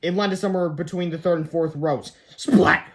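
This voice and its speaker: High-voiced man reading a children's book. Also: fairly even intonation, a little fast, dark tone. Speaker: read-aloud storyteller. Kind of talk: scripted children's book narration.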